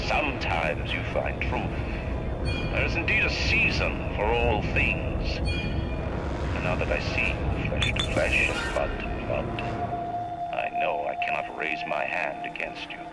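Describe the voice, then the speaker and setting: Imperious older tycoon, slow and grandiose. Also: male voice, a bass voice, exaggerated voice, poor audio. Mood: cold, bitter. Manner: grandiose, deliberate, oratorical. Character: proud, bitter, imperious older male tycoon ruling his city. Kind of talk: theatrical dramatic video game monologue. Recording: loud music and effects behind voice. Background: loud dramatic game music.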